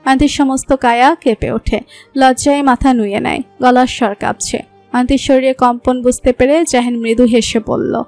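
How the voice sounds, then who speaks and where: High-voiced woman narrating a love story. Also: fairly even intonation, normal speed. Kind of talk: scripted narration of a romantic story. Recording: soft background music.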